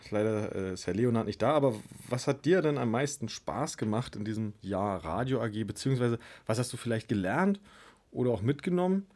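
Give me a low, warm-voiced man hosting a radio show, asking casual follow-up questions. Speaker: radio host.

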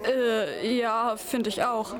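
Brief: unsure teenage boy, played by a girl, higher voice, halting delivery. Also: long reverb tail, crowd noise. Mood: hesitant. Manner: hesitant, quiet, with pauses. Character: shy sixteen-year-old schoolboy, unsure of himself. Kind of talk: school radio play acting.

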